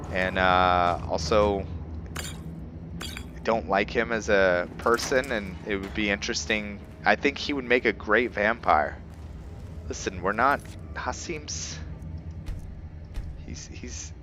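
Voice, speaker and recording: Deep-voiced man casually commentating a video game. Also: noisy background. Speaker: gaming video host playing and commentating. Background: game music.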